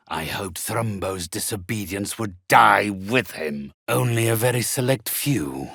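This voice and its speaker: A sinister old undead necromancer from a fantasy video game. His husky, gravelly voice moves at a slow, stately pace, often sinking into breathy whispers. He sounds haughty, theatrical and coldly condescending, with wide, swooping intonation. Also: lowish voice, a bit bright, no room sound, studio quality, heavily acted. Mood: disdainful. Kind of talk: video game character acting.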